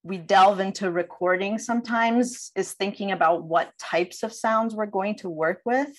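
A woman's voice: medium pitch, a little slow. A young woman, a sound designer, giving an informal lecture over a video call.

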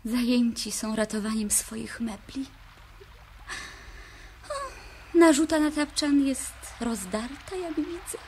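A disapproving, envious female neighbour in a radio play. She speaks high and slowly, with sniffy sarcasm. There is faint noise from an old vinyl record. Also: darkish tone.

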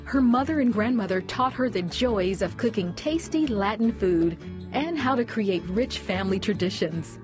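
A woman reading a scripted TV introduction in a smooth, polished voice-over. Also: medium pitch, expressive, normal speed. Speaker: narrator. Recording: voice-over over clear music.

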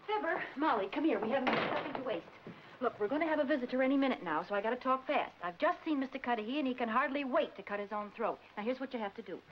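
A woman playing a brisk, bossy young woman giving orders in a 1940s film comedy. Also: high voice, animated. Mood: urgent. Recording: dull, hissy old film soundtrack.

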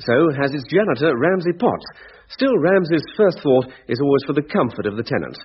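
A man's voice: on the high side, very animated, fairly quick, slight background noise. A man announcing a radio comedy with a scripted introduction. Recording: muffled old radio broadcast, loud music.

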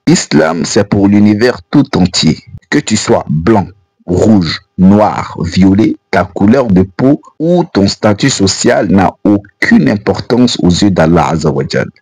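A man's voice: fairly low pitch, lively intonation, slow pace, a little mellow, breathy edge, no echo. An African man whose first language is not French, reading an earnest, admonishing voice-over commentary for a religious channel. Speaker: Islamic channel narrator.

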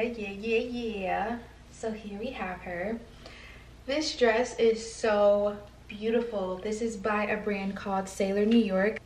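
A young woman in her twenties chatting upbeat and casual as a fashion vlogger, talking to a camera across her bedroom. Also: medium pitch. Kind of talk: casual vlog chat. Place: bedroom at home, indoors. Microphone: camera across the room. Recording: roomy, from a distance, faint hiss.

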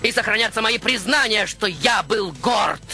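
A man playing a proud, stubborn baroque composer, telling his story as a theatrical radio monologue with rhetorical pauses. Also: high-pitched, expressive, creaky, bright, forceful tone, faint music behind, exaggerated voice. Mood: dramatic, rising. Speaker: radio show host in character. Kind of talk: dramatic first-person radio monologue.

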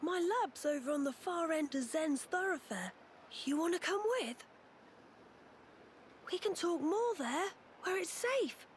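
A woman's voice: high-pitched, animated, a little slow, slight background noise. A woman playing a young, informal, slightly sheepish researcher in a video game. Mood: friendly. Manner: casual, colloquial, breezy.

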